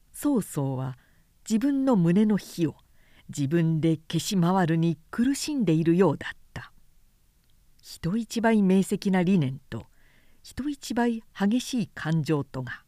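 A woman narrator giving a measured, dramatic reading of scripted historical literature. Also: mid-range voice, mellow, dry recording, studio quality.

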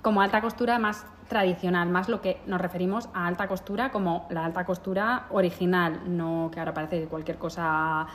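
A woman's voice: lowish voice, steady tone, fairly quick, hardly any pauses, slight background noise. Woman host asking rambling questions. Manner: friendly backchanneling, rambling questions. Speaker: podcast host, interviewer. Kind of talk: casual interview chat.

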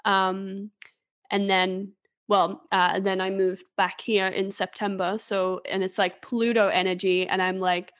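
A woman's voice: mid-range voice, level tone, a little slow. Rambling woman from England, chatting. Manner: rambling, restarts, soft laughs. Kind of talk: casual podcast chat.

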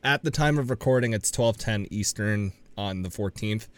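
A man's voice: fairly low pitch, normal speed, no room sound. A young man co-hosting a podcast, chatting casually and throwing in dry joking asides. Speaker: podcast co-host.

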